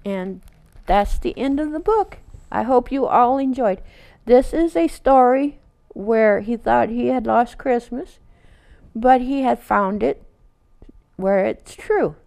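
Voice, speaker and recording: Woman, storytime reader, higher-pitched, clear smooth tone with some creak, gentle delivery. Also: slow pace. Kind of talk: reading a children's picture book aloud with casual asides.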